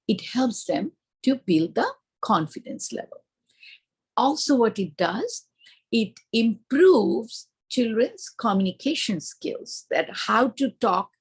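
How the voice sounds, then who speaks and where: A woman gives an instructional talk for teachers. Her voice is somewhat raspy and breathy, sitting at an ordinary middle pitch. She explains point by point, leaning on key words to make them land. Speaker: early childhood teacher trainer. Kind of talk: explanatory lesson presentation.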